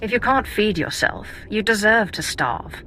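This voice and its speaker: A woman's voice: medium pitch, animated, moderate pace, pushed and bright, slight background noise, low-quality recording. An actress voicing a cool, confident female Sith warrior in a video game, speaking tersely. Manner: cool, terse, slightly dry.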